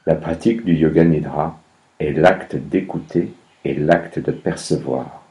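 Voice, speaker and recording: A man guiding a meditation as a yoga nidra instructor, speaking calmly in measured instructions with long pauses between phrases. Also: very deep voice, poor audio. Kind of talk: guided meditation, slow instructions.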